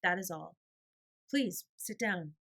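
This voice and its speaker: Woman as a weary, fretful invalid gentleman. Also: fairly low pitch, takes it slowly, heavily acted. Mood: despondent. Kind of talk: storybook character voice.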